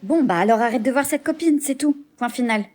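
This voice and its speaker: A woman's voice: on the high side, brisk, a little mellow, lightly acted. A woman acting a jealous, insistent young lover in a short scripted relationship drama. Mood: possessive, pressuring.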